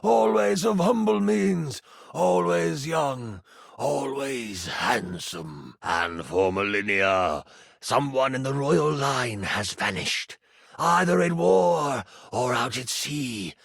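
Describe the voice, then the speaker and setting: A man playing an ancient, cruel, self-pitying villain king in a video game, speaking slowly and theatrically with grand menace. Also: mid-range voice, expressive, gravelly, a bit bright, no room sound, studio quality, exaggerated voice. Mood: brooding, sinister. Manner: slow, menacing, theatrical delivery.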